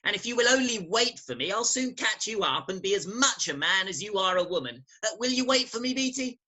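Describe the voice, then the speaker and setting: A woman's voice: mid-range voice, animated, fairly quick, sharp tone. A woman playing a precocious, cheeky adolescent boy in a staged reading of a Victorian farce. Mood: ardent, eager.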